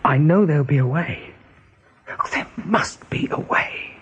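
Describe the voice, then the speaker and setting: Determined rabbit chieftain, persuasive, commanding, animated pitch, heavily husky voice, radio play. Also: male voice, lo-fi sound, exaggerated voice. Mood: brooding, resolved. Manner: earnest, commanding, deliberate persuasion.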